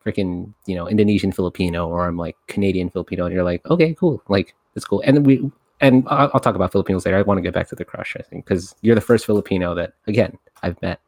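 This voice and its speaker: Chatty low-voiced male podcast host. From United States. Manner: animated, laughs often, talks in quick runs. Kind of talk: casual podcast chat.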